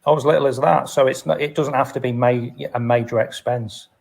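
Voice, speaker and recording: A man's voice: medium pitch, fairly even intonation, fairly quick, vocal fry, a little mellow. Hesitant British man hosting a casual chat. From United Kingdom. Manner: hesitant, self-effacing, repeats words. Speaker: host of a live chat.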